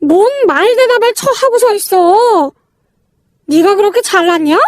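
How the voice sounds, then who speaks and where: A woman's voice: very high voice, animated, measured pace, no room sound, studio quality. A woman voicing a thuggish, domineering older brother in a narrated family drama, blunt, coarse and threatening. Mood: irritated, threatening.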